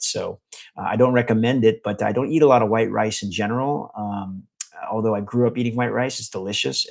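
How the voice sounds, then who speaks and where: A man's voice: on the low side, gravelly. A middle-aged man from the United States, chatting casually with a live chat in a rambling way, full of fillers and restarts. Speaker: vlogger answering a live chat.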